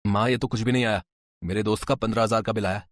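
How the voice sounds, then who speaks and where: A man's voice: on the low side, fairly even intonation, fast, close to an everyday voice. A man voicing a carefree grown son in a cartoon story. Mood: offhand.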